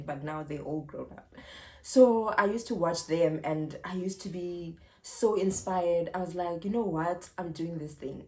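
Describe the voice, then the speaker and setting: A young woman with a South African accent talks slowly and unhurriedly in a casual Q&A vlog. Her voice sits a little low, and she breaks into giggles between relaxed, rambling answers. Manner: chatty and giggly, drawn-out pauses. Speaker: YouTuber.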